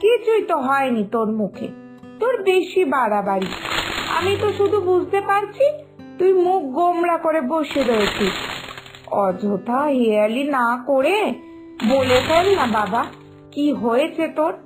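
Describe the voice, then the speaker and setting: A woman voicing a sensible elder sister-in-law in a cartoon story, gently scolding and reassuring. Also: high voice, big pitch swings, a little slow. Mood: chiding, reassuring. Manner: chiding, reassuring. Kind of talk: Bengali animated moral story dialogue. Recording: music behind the voice.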